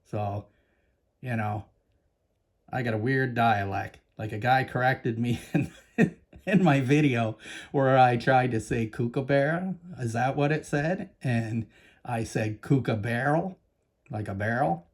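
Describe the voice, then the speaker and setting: A man's voice: mid-range voice, measured pace. A man with a Canadian accent hosting a casual coin-collecting vlog, chatty and enthusiastic, talking straight to his viewers. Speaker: coin collecting channel host. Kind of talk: casual show-and-tell vlog.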